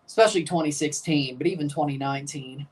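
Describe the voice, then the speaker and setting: A man streaming a live match watchalong, chatting casually and calling goals with excitement while guarding a strained voice. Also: fairly high pitch, fast talker, breathy edge, distant mic, low-quality recording.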